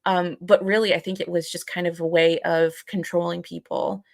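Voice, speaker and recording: A woman co-hosting a live podcast, talking casually and haltingly as she searches for words and hedges. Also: fairly low pitch, flat delivery, gravelly, no room sound, studio quality. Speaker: podcast co-host.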